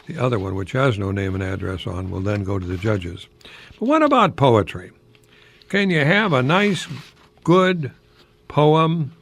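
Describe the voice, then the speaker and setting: Older American man with a creaky voice. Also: mid-range voice. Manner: professorial, chatty, rhetorical questions, chuckling asides. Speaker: radio host, retired college professor. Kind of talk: informal radio talk with verse recitation.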